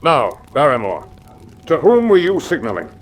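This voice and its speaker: An animated, forthright gentleman landowner. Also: on the high side, moderate pace. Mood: indignant. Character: Sir Henry, forthright hot-tempered young gentleman landowner. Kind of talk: radio drama acting.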